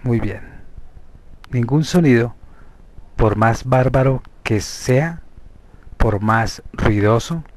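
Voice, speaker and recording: A man with a Colombian Spanish accent leading a guided hypnosis meditation as a therapist, speaking deliberately in short, separated phrases. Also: medium pitch, darkish tone. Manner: slow, deliberate, pausing between short phrases.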